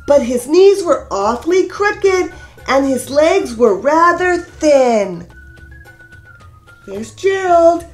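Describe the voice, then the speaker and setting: A woman reading a picture book aloud as a storytime host, expressive and sing-song as she talks directly to young children. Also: high-pitched.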